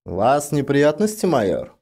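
A man's voice: on the high side, wildly swooping pitch, normal speed, gravelly, darkish tone, slightly rough, pitched above the performer's own voice, exaggerated voice. A man voicing a courteous, reserved spaceship captain in a science fiction audiobook, formal and restrained.

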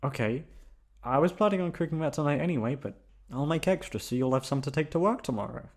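Man playing a coaxing boyfriend, very expressive. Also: a little high, fast. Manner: coaxing, playful, pleading. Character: teasing, pleading, affectionate young boyfriend. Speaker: voice actor performing an audio roleplay. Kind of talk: ASMR boyfriend roleplay, intimate acting.

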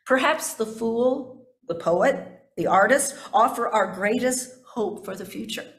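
An older woman pastor delivering a sermon from notes in deliberate, measured phrases, with asides to her congregation. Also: fairly high pitch, a bit bright. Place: indoors in a church sanctuary at a pulpit. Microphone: gooseneck pulpit microphone. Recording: echoey church sanctuary.

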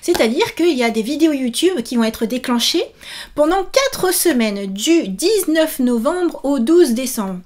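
High-voiced, enthusiastic woman vlogger. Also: unhurried. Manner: animated, exclamatory, enthusiastic. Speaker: author and YouTuber promoting her book. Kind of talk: casual chat to camera. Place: small indoor room set up for filming.

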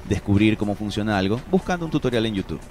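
A man's voice: on the low side, animated, fast, a little mellow, slight background noise. A man in his thirties chatting as a guest on a radio show, explaining things in a conversational way. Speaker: stand-up comedian. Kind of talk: conversational interview talk. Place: small radio studio. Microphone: studio microphone on boom arm.

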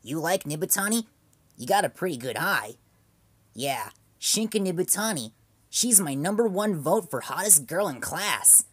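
A man's voice: sits high, breathy edge, no room sound, exaggerated voice. A man doing a boastful teen ladies' man. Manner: boastful, brash. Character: cocky teen boy, self-styled ladies' man.

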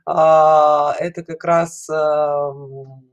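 A woman's voice: low voice, flat delivery, extremely slow, highs cut off. Middle-aged female guest on a video call, chatty, informal and stop-start in delivery. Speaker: guest caller, children's animation studio representative. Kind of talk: casual interview answers. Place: indoors at home, video call. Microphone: headset.

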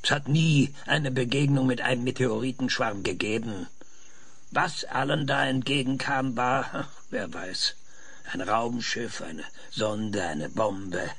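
An actor playing an older, insistent professor with a raspy voice in a German radio drama, explaining things emphatically and dramatically. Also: male voice, mid-range voice, slow pace, a bit bright, heavily acted. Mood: eager explaining. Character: frail, excitable old male professor, insistent and visionary. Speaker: professor being interviewed.

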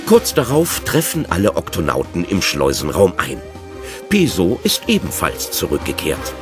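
A man's voice: deep voice, wildly swooping pitch, moderate pace, music in the background. A man narrating a children's undersea adventure audio drama from a script.

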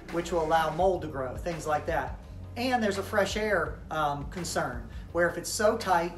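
A man in his thirties, a tiny house builder, casually explaining his work to the camera from inside an unfinished house. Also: sits high. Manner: relaxed, informal, frequent um and you know. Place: framed interior of an unfinished tiny house, indoors. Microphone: camera mic at a few meters. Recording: roomy echo, faint music.

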